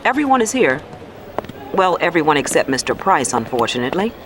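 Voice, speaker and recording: Woman as lively, distant-sounding businesswoman. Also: deep voice, brisk, a little hiss or hum. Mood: gracious. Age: 30s. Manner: courteous, formal. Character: polite, businesslike woman executive in her thirties. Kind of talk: audio drama acting.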